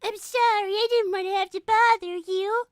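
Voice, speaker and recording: Very high-pitched timid bunny girl. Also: steady tone, normal speed, no room sound, clean studio sound. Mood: timid, apologetic. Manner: apologetic, prim, politely stubborn. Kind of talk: improvised in-character comedy chat.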